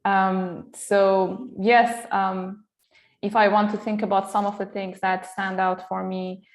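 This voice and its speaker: Iranian-woman, flat even delivery. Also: mid-range voice, echoey room. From Iran. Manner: measured, many um fillers and pauses. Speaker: guest, entrepreneur and lecturer. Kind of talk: interview conversation.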